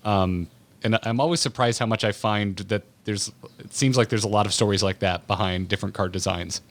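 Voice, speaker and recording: Male podcast host, fairly low voice, conversational, thinking aloud in roundabout phrases. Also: fairly quick. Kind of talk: casual interview conversation.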